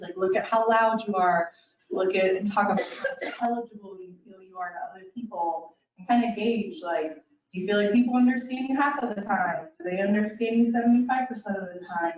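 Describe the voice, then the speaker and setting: A woman's voice: medium pitch, a little mellow. A woman speech-language pathologist giving a casual educational presentation, talking straight to her listeners with lots of "kind of" and "um". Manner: casual and chatty, addresses listeners directly, many "kind of" and "um". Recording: roomy, distant, dull sound.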